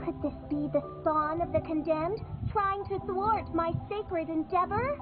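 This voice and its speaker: A woman's voice: extremely high pitch, a little slow. A woman playing a theatrical girl who styles herself a princess of darkness, speaking in grand archaic phrases in a video game. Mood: grandiose. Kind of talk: video game character acting. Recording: tinny game capture with music.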